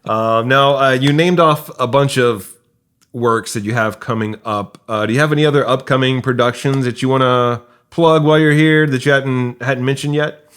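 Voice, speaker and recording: A man's voice: medium pitch, normal speed, a bit bright, clean tone. A man hosting a podcast, chatting casually with a guest and addressing listeners as a playwright and host. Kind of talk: casual interview chat and show outro.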